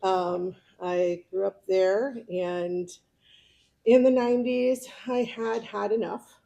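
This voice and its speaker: A middle-aged American woman speaks informally, as a club member giving a personal talk. Her voice has a noticeable creak at an ordinary pitch, and her manner is chatty. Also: fairly even intonation, slow pace, dark tone, muffled recording. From California, United States. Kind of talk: informal autobiographical speech.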